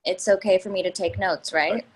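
A woman's voice: on the low side, steady tone, moderate pace, a little hiss or hum, close to an everyday voice, rough recording. A woman playing a direct, businesslike young professional in a play reading, formal and pointed as she questions. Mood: cool, probing.

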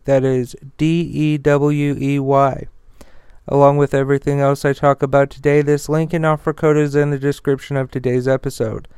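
A man hosting a podcast, reading news and sponsor messages informally, with a stutter and a halting, stumbling delivery. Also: mid-range voice, a little mellow, clean tone, no room sound, studio quality. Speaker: podcast host.

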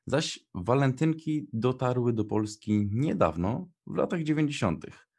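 A man's voice: medium pitch, expressive, a little slow, dark tone, breathy edge. A young man co-hosting a radio show, reading prepared notes and chatting casually. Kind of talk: scripted narration and casual radio chat.

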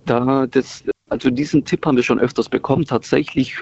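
A middle-aged man whose first language is Italian talks on a muffled speakerphone call, his voice husky and gravelly. He speaks haltingly and keeps restarting his sentences. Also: medium pitch, steady tone, a little mellow, dry recording. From Italy, moved to Germany at six. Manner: hesitant, many fillers and restarts. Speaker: radio caller. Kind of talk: casual phone-in chat.

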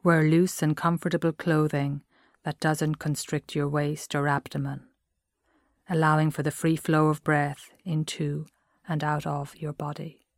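A woman with an Irish accent gives measured, gentle guided meditation narration as a mindfulness instructor. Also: deep voice, flat delivery, no room sound, clean studio sound.

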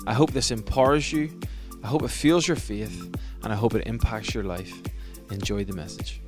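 A man's voice: lowish voice, moderate pace. A man, a pastor, reading a short welcoming intro to his church podcast. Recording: intro over music.

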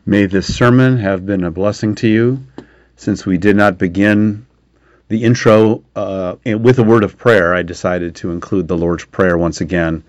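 A man's voice: low voice, a little mellow, no echo, dull audio. A mature American man, a pastor, giving an informal afterword in a rambling, conversational way. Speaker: pastor, host.

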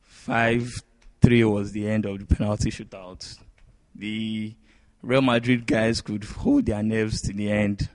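A man co-hosting a sports podcast, giving match analysis in halting, short phrases. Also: fairly low pitch, level tone, a little mellow, no echo. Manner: halting, pieces sentences together in short phrases. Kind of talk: sports discussion.

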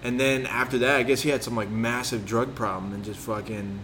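A man's voice: mid-range voice, steady tone, fairly quick, fairly bright tone. Rambling, profane man in casual chat. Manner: rambling, profane, loses his train of thought. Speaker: podcast host. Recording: faint background noise.

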